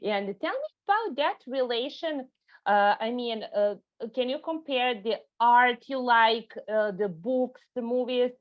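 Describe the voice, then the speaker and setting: A woman interviewer chats with her guest, filling her questions with "uh" and "you know." Her voice sits a little high, and the recording sounds muffled and lo-fi. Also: lively intonation, unhurried. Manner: chatty, many fillers and agreeing interjections. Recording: dull lo-fi sound.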